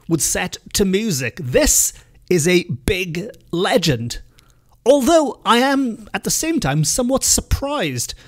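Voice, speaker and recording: A Welsh man hosting a solo folklore podcast in a chatty, self-deprecating way, with small restarts. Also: high voice. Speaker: podcast host.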